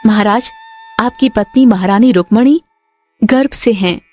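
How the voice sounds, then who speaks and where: A woman's voice: on the high side, measured pace, a little mellow. A woman voicing a deferential palace maid in a cartoon story, announcing news formally. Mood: respectful, pleased. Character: respectful young female palace maid bearing good news. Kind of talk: Hindi cartoon story dramatic acting. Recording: music under the voice.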